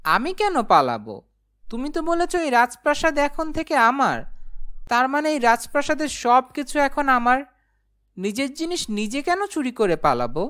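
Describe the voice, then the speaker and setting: A woman's voice: sits high, big pitch swings, moderate pace, fairly bright tone. A woman voicing an honest, plucky yet nervous young human who narrates a cartoon ghost story expressively. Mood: defiant. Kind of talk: cartoon first-person narration and dialogue.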